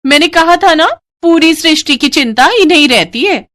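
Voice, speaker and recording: A woman's voice: high-pitched, moderate pace, a bit bright. A woman voicing a caring rich man's wife who fondly chides her husband in a cartoon story. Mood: fond, chiding. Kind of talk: Hindi cartoon story acting.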